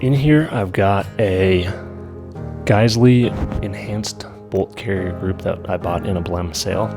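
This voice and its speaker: A man with a low voice, a bit stuffy from an oncoming cold, walks through his shooting gear piece by piece. He talks at home in a casual, matter-of-fact way, with guitar music playing clearly behind him. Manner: detailed, matter-of-fact technical talk. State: coming down with a cold. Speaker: vlogger.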